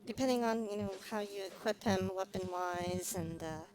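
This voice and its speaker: A woman streamer giving casual live game commentary, thinking aloud with frequent pauses. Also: medium pitch, a little mellow, slight background noise, rough recording. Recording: music behind the voice.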